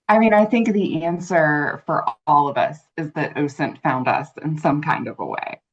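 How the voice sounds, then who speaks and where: A woman in her thirties answering as an interview guest, earnest, fluent and measured. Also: low voice. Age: 30s. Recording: muffled lo-fi audio.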